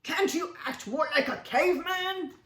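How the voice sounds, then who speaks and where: Woman putting on loud, irritated storybook cavemen. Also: extremely high pitch, measured pace, echoey room, pitched above the performer's own voice. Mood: annoyed. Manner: loud, scolding, challenging.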